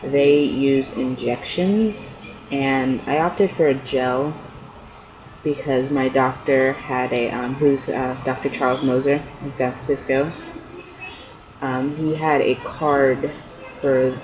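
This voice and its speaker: A man's voice: fairly even intonation, slow pace. Rambling young man, noisy home recording. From California, United States. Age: young adult. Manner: rambling, hesitant. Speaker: video blogger. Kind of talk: casual unscripted chat to camera. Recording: noisy low-quality amateur webcam-style audio.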